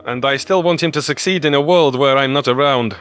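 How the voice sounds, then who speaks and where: A man's voice: mid-range voice, a little fast, fairly bright tone, clean tone, voice pushed up, close to an everyday voice. A man voicing a scruffy, earnest human conspiracy theorist in audio story dialogue.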